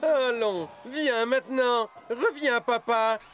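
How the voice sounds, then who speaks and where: A man playing an excitable, bossy, sputtering schemer in a French-dubbed cartoon. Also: very high voice, slow, exaggerated voice. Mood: coaxing, puzzled. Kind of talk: French cartoon dub acting. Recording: music behind the voice.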